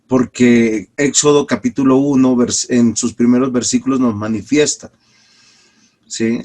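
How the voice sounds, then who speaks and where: A man teaching an online Bible class as a pastor, a little congested from a cold, who speaks deliberately with restarts and stretched-out words. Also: medium pitch, a little mellow. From Peru. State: slight cold with a cough.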